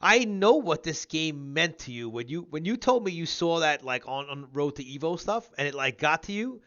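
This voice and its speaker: A man's voice: fairly high pitch, expressive, normal speed, a bit bright, lo-fi sound. A man of about fifty hosting a casual gaming interview, talking in a rambling, repetitive, emphatic way. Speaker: show host interviewing a guest.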